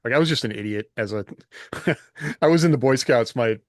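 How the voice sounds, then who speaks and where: A man's voice: medium pitch, a little fast, no room sound, studio quality. A middle-aged American man chatting casually as a podcast co-host, candid and self-deprecating. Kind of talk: casual chat.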